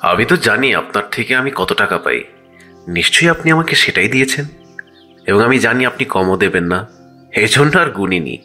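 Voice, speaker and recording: Honest shopkeeper, lively bright voice. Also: male voice, fairly low pitch, fairly quick, faint music behind. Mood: sincere, grateful. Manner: polite, earnest, reassuring. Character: honest, kindly, pious male shopkeeper in a moral fable. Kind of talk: cartoon voice acting.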